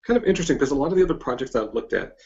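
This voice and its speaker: A man hosting a casual interview, speaking in a hesitant, halting way and often repeating himself. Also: medium pitch, lively intonation, creaky, a little mellow, lo-fi sound, slight background noise. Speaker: podcast interviewer, host.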